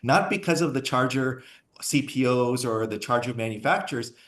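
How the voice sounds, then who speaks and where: A man's voice: mid-range voice, normal speed, fairly bright tone, roomy sound. A man from India who speaks with a Californian accent, answering as a panelist in a rambling way with many restarts. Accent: Californian English. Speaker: panelist, company founder.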